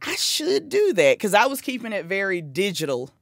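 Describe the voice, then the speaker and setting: A woman's voice: mid-range voice, wildly swooping pitch, normal speed, dry recording, clean studio sound. A woman from South Carolina with a Southern accent, hosting a podcast interview in casual, enthusiastic chat, laughing often and restarting her sentences. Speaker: podcast host. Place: small dark studio with couches. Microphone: studio mic on stand.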